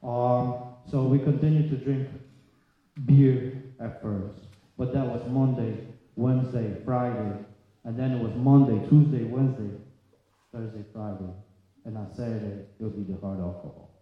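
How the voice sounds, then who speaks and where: A man's voice: mid-range voice, fairly even intonation. Man in a very echoey hall. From Poland. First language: Polish. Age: 30s or 40s. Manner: halting, plain-spoken delivery in short phrases. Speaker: speaker sharing his story at a recovery meeting. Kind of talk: personal testimony to a group. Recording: echoey hall, long reverb, slightly dull sound.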